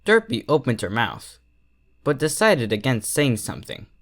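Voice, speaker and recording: A man's voice: medium pitch, big pitch swings, moderate pace. A man reading a story aloud as scripted narration. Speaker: fan fiction reader.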